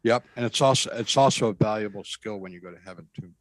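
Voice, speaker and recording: Earnest man with a bass voice. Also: fast talker, no echo, poor audio. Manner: earnest, emphatic, repeats himself. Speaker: guest describing a spiritual experience. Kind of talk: conversational storytelling.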